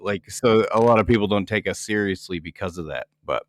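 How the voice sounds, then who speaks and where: A man's voice: fairly low pitch, a little fast, no room sound, clean studio sound. A man hosting a podcast in casual chat, laid-back and joking, swearing casually. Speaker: podcast host.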